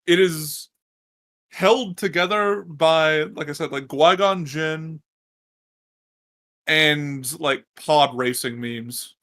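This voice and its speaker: Blunt man with a bright voice. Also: fairly high pitch, takes it slowly, pauses often. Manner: blunt, swearing, teasing. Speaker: podcast co-host. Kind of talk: casual chat.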